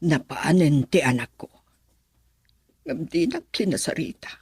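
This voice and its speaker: A woman voicing a worried, middle-aged mother in a radio drama who schemes at matchmaking and speaks in a slow, musing, husky voice. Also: deep voice, no echo, full character voice. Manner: slow, musing, warmly excited on the phone. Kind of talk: Ilocano radio drama acting.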